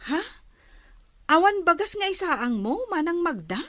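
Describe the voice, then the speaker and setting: A woman plays an obliging younger village woman in a radio-style drama. Her voice sits very high, and she comes across as humble and hardworking. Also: very animated, a little slow, fairly bright tone, exaggerated voice. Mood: helpful. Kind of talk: Ilocano audio drama dialogue.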